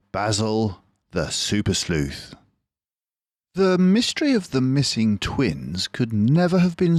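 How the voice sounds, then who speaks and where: An older man reading a children's storybook aloud as narrator, in a measured, deliberate manner. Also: on the low side, darkish tone, no room sound.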